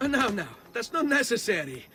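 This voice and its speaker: A man's voice: extremely high pitch, big pitch swings, normal speed, bright, forceful tone, soft background music, exaggerated voice. An actor playing a cocky, charming young nobleman in a video game, speaking with an Italian accent. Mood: flustered. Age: young adult. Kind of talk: video game cutscene acting. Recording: faint background noise.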